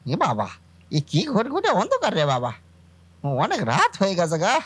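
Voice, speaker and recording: A woman plays a hardworking village sweet-seller man in a cartoon. The delivery swings widely in pitch, chatty, good-natured and rustic with muttered asides, recorded dry and close. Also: wildly swooping pitch, moderate pace, husky, no echo. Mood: uneasy. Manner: rustic, colloquial, muttering to self. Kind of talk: cartoon voice acting.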